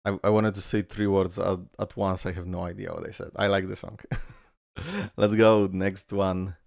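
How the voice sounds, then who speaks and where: A man whose first language is Polish, hosting a casual game reaction video and talking in an excitable, rambling way full of fillers. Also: sits low, normal speed, vocal fry, no echo, clean studio sound.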